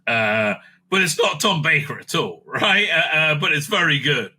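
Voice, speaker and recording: A man's voice: fairly high pitch, lively intonation, moderate pace, bright, forceful tone, husky. A man giving a casual, rambling fan review as host, blunt, opinionated and sweary, often repeating phrases for emphasis.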